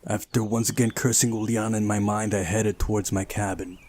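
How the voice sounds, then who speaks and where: A man's voice: on the low side, flat delivery, fairly quick, a little mellow. A man reading a visual novel aloud during a playthrough, narrating the story and adding casual asides of his own.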